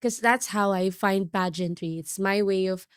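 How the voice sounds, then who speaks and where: A woman's voice: medium pitch, normal speed, smooth, dry recording, studio quality. A Filipino woman with a Filipino accent hosting a podcast interview, effusive and chatty, slipping Tagalog words into her English while she is losing her voice. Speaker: podcast host. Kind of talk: casual interview chat.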